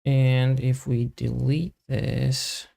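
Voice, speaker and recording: Slow, very gravelly man thinking aloud. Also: mid-range voice, flat delivery, mellow. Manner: halting, muttered fragments with long pauses. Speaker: software developer live streamer. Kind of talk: casual live coding commentary, thinking aloud.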